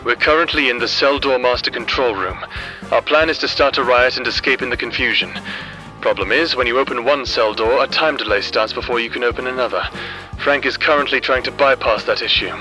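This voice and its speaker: Man as sharp, forceful escaped prisoner narrating. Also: medium pitch, a little fast, natural voice, low-quality recording. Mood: tense, explanatory. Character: weary, calculating male prison escapee narrating his thoughts. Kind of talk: dramatic inner-monologue narration in audio drama. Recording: music under the voice.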